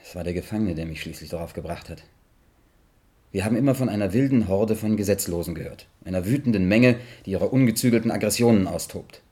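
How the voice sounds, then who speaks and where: An actor playing a troubled, earnest investigator in a German science fiction radio drama, with a husky voice. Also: male voice, fairly low pitch, fast talker, mellow. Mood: earnest, intense. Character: troubled, restless male investigator, earnest and insistent.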